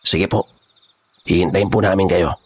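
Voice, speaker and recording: A man playing a gruff, blunt kidnapper boss in a horror audio drama. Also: low-pitched, steady tone, moderate pace, a little hiss or hum, close to an everyday voice. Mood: deferential. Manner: rough, street-tough, blunt. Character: gruff, menacing male kidnapper gang boss.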